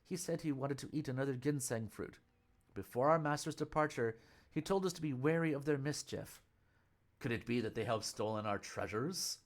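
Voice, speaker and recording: A man voicing a young male temple attendant who is suspicious and quick to accuse, speaking in a sharp, sarcastic way. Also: mid-range voice, natural voice.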